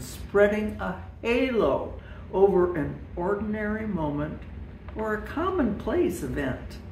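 Elderly female reader, solemn and measured, unhurried delivery, roomy home recording. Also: on the low side, a little mellow. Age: 70s or older. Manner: measured, deliberate phrasing. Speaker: congregation member reading. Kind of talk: solemn scripted devotional reading. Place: living room at home, indoors. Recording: roomy, distant mic, faint noise.

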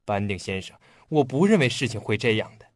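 An actor playing an earnest, polite young police detective in a radio drama. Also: male voice, lowish voice, very animated, brisk, no room sound. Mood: grave, speculative.

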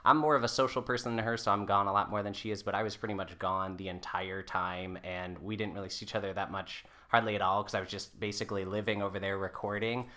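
A man in his twenties with a slightly low, bright and forceful voice. He talks casually as an interview guest, chatty and jokey, often slipping into quoted dialogue as he tells a story. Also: little pitch movement, quick pace, few pauses. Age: 20s. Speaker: podcast guest, folk musician.

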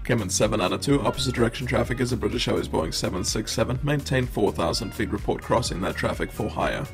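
A man's voice: lowish voice, lively intonation, quick pace, faint music behind. A man working as an air traffic controller, giving procedural instructions to pilots.

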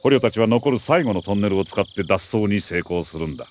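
An actor playing a middle-aged army major who briefs an agent in a crisp, authoritative, deliberate way in a video game. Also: male voice, lowish voice, expressive, a little mellow, a little hiss or hum, close to an everyday voice. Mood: sheepish, earnest. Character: male military major, mission commander, precise and earnest. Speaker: mission commander briefing an agent.

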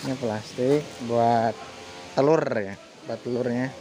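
A man's voice: mid-range voice, slow, soft background music, low-quality recording. A man chatting casually to viewers as he works with his hands, counting aloud as he goes, recorded on a handheld phone outdoors. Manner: relaxed, talks to viewers while working, counts aloud. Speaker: betta fish breeder vlogger. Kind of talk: casual hands-on vlog narration. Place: outdoors in a concrete yard. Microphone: handheld phone camera, filmed first-person.

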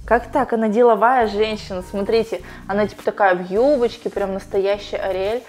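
A woman's voice: medium pitch, fairly even intonation, normal speed, darkish tone. A young woman vlogging casually, playful and chatty as she talks to her dog and her viewers while opening toys, recorded on a lapel mic in a small tiled bathroom. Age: young adult. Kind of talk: casual vlog chat unboxing toys. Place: small tiled home bathroom, sitting in a bathtub.

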